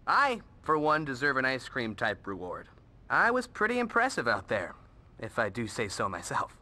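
A goofy teenage boy in a video game. His voice is very sharp and bright with lively swings, jokey and boastful. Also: medium pitch, moderate pace, full character voice. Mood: boastful, joking. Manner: jokey, theatrical self-praise.

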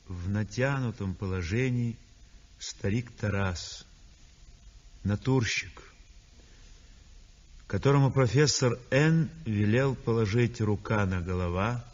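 An actor playing a serious, thoughtful young art student, narrating deliberately in a 1960s radio drama. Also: male voice, mid-range voice. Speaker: actor narrating in first person. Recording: dull vintage 1960s radio recording.

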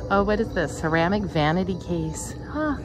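Middle-aged woman, chatty, over loud music. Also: lowish voice, animated, moderate pace, poor audio. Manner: chatty, nostalgic, exclaims often and laughs softly. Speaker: vlogger touring a theme park store. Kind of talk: casual walkthrough commentary. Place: dim indoor theme park gift shop. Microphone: handheld phone camera. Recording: phone video over loud background music. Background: loud spooky music and sound effects.

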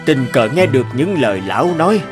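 A man's voice: mid-range voice, sing-song, a little fast, over music, natural voice. A man playing a proud but regretful, homesick middle-aged warrior king in a cartoon fairy tale, speaking in a solemn, reflective way. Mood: wistful, regretful.

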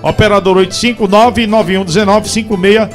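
A man's voice: high-pitched, fairly even intonation, moderate pace, soft background music. A middle-aged man with a Northeastern Brazilian accent hosting a TV show, jocular, teasing and exclamatory, on a handheld studio microphone. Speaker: TV show host. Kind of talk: lively studio banter.

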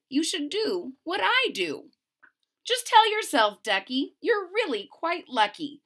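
A woman's voice: high-pitched, a bit bright. A woman in her forties reading a children's picture book aloud expressively, stressing key words theatrically and adding casual asides. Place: small room at home.

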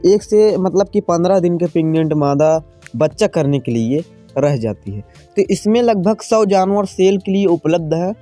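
A man's voice: on the high side, warm tone. A man narrating a casual farm vlog, informally addressing viewers as friends. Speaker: farm video channel host. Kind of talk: casual vlog narration, showing livestock for sale.

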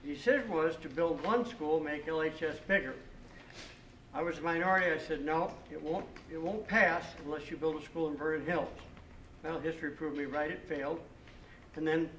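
A man's voice: fairly high pitch, fairly bright tone. Pointed older man citing figures. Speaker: resident speaking at public comment. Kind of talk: public comment at a school board meeting. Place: school library meeting room. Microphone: podium microphone, picked up from a distance. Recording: echoey room, distant mic, faint hiss.

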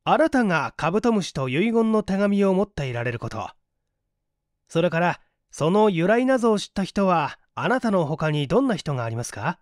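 A man's voice: high-pitched, big pitch swings, normal speed, no room sound, clean studio sound. A man voicing a composed, shrewd male detective who questions with polite assurance in an audiobook mystery. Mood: composed, assured.

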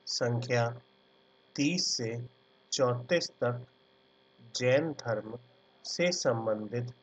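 A man teaching an art exam class, dictating notes word by word and then explaining pictures in a casual way. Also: medium pitch. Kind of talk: slow dictated lecture over handwritten notes. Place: at a computer, screen recording. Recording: dull lo-fi sound with mains hum. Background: faint electrical hum.

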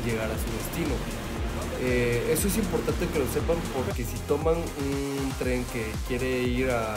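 A man narrating his road trip casually, explaining practical tips with many pauses and fillers. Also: mid-range voice. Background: loud music.

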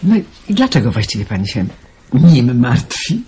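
An actor voicing a calm elderly neighbour woman in an amateur radio play, soothing and wise. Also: mid-range voice, normal speed, a little hiss or hum, close to an everyday voice. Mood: consoling.

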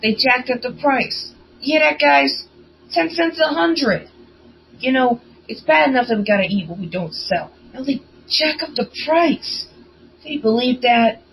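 A woman's voice: mid-range voice, monotone, normal speed, few pauses, slight background noise, close to an everyday voice, low-quality recording. A woman voicing a hot-tempered, indignant young newsboy with slangy, angry speech in an audio story. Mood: furious.

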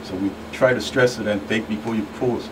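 A man's voice: lowish voice, steady tone, fairly quick. A middle-aged man giving advice as a law enforcement guest on a talk show, in short emphatic phrases. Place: small radio studio. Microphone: studio microphone. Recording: distant mic, clearly noisy room hum.

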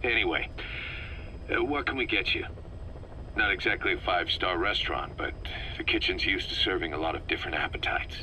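A wry, businesslike military man from a video game, with an exasperated edge. His voice is low and very forceful, his phrasing casual, over faint background noise. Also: fairly quick, heavily acted, low-quality recording. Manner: casual, wry, hesitant asides. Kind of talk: scripted video game drama.

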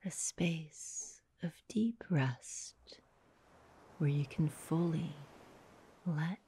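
Female meditation guide: low, very breathy, soothing, slow delivery with long pauses. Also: slight background noise. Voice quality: breathy, soft, half-whispered. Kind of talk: guided meditation, soft hypnotic narration.

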